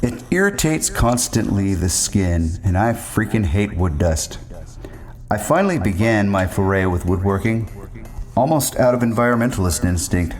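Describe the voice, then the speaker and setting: A man's voice: fairly low pitch, expressive, moderate pace, a little mellow, soft background music. A man narrating a first-person story in a wordy, ornate and rambling manner.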